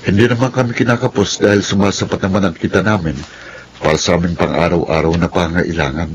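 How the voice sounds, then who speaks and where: A man's voice: low voice, fairly even intonation, quick pace, slight background noise, lightly acted. A man playing a humble, shy young farm caretaker in a Filipino radio drama. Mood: humble, friendly.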